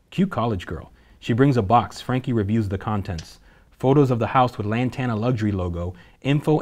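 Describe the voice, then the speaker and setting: Narrator for a table read: a low-voiced man, steady and matter-of-fact. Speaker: narrator reading stage directions.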